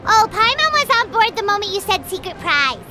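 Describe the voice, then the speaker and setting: A woman voicing a small, excitable, cheeky fairy companion in a video game, with a squeaky childlike voice and a brash manner. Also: a little fast. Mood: excited. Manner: brash, speaks of self in third person. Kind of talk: video game character acting. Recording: music behind the voice.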